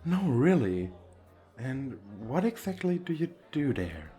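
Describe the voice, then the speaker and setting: A man's voice: mid-range voice, very animated, takes it slowly, mellow, soft background music. A man acting a shy, nervous, wealthy businessman on a speed date in an ASMR roleplay, talking in a hesitant, rambling, self-deprecating way. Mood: shy, earnest. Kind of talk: ASMR roleplay, intimate flirty chat.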